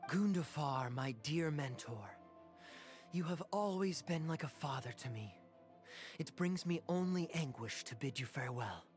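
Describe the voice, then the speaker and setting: Suave, grandiose young prince, music behind. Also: male voice, a little high, animated. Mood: sorrowful, resolute. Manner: grandiose, measured, dramatic pauses. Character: suave young swordsman acting a noble tragic stage prince. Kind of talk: theatrical stage-play declamation in a fantasy video game.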